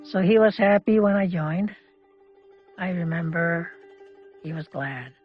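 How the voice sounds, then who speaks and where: A woman's voice: lowish voice, unhurried. A woman, speaking in a reflective way as she recalls personal memories. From Canada. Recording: muffled audio with music behind. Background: music.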